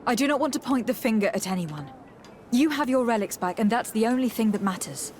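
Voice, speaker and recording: A woman playing a calm, diplomatic young noblewoman envoy in a video game, speaking in a measured, formal and firm way. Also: on the high side, slight background noise, natural voice. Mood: calm, reassuring. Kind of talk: video game dialogue acting.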